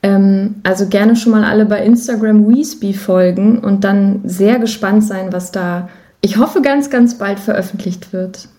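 A woman's voice: mid-range voice, a little slow, warm tone. A young woman chatting casually as an interview guest, enthusiastic and emphatic in the way she talks. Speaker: interview guest, musician and voice actor.

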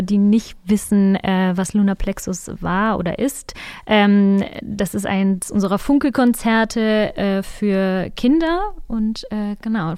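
A woman's voice: mid-range voice, darkish tone, clear, resonant tone, no room sound, clean studio sound. A woman hosting an interview podcast in a conversational, informal way with slightly hesitant phrasing. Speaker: podcast host.

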